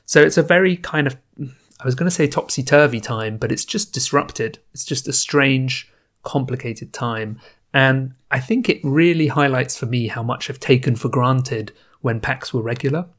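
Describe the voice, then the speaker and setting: A man's voice: medium pitch, normal speed. A man hosting a podcast, talking casually and thinking aloud into a home microphone setup. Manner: chatty, relaxed, thinking aloud with fillers. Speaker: podcast host. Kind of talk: casual solo podcast intro. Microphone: new home podcast microphone setup.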